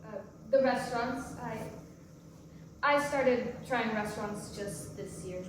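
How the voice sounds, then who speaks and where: Woman acting a troubled mom in a play, high voice, stammers and hesitates, really roomy far-off recording. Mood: hesitant, sheepish. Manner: halting, stammering delivery. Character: troubled recovering-alcoholic mother, hesitant, guilt-ridden, confused. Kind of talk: staged reading of a stage play. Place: large echoey room, live staged reading. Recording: echoey hall from far off, noisy.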